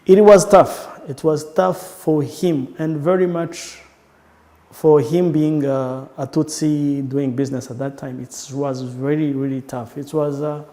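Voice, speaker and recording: A man with a Rwandan accent recalling his family history in an interview, speaking haltingly and often restarting his sentences. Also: on the high side, steady tone, dark tone, long reverb tail. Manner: halting, searching for words with frequent restarts. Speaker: entrepreneur. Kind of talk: personal recollection in an interview.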